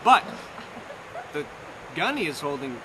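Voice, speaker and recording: A man's voice: fairly high pitch, very animated. A young man telling a bedtime story as a live theatrical performance, dramatic and playful, drawing out and repeating phrases. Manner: dramatic, drawn-out, playful repetition. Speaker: narrator. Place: outdoors on a lawn. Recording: noisy outdoor amateur live recording.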